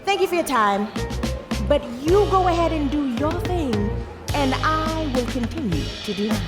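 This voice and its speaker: A woman's voice: high-pitched, lively intonation, moderate pace, over music, crowd noise. An actress playing a principled, defiant American congresswoman in an audio drama, speaking with emphatic, precise diction and an American accent. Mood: cool, resolute.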